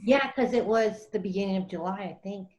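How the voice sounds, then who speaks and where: A woman's voice: mid-range voice, a little fast, a little mellow, dull audio. A woman joining a podcast as a guest over a video call, chatting casually and swearing now and then. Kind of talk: casual chat.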